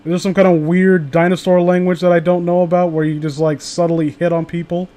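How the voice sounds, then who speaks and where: A man's voice: sits high, little pitch movement, fairly quick, warm tone. A man hosting a livestream, chatting casually with friends and viewers in a sardonic, profane way. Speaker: livestream host.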